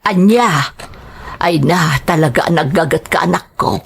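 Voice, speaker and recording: A woman's voice: low-pitched, unhurried, creaky, husky, heavily acted. A woman playing a humble, loving mother in a radio drama, earnest and plaintive, with an Ilocano accent. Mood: tender, concerned. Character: humble, grateful middle-aged mother, worried and loving.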